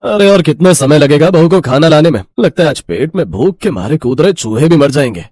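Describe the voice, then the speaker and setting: A man's voice: on the high side, animated, brisk, a little mellow, dry recording, studio quality. Man playing a grumbling, swooping-voiced village householder. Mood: hungry, impatient. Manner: demanding, complaining.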